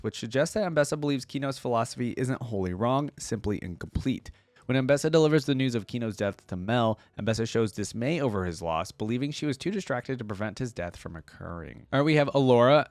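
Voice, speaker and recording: A man's voice: medium pitch, no room sound. A man reading text aloud as a reaction video host, dropping in casual asides between passages.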